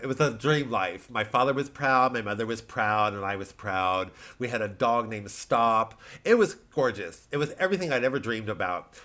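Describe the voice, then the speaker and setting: A male comedian plays a proud, earnest whistleblower from the tech world. He speaks with grave, deliberate care. The absurd testimony is delivered completely deadpan, as comedy. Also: medium pitch, natural voice. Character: earnest American tech-company whistleblower with grandiose nonsensical résumé.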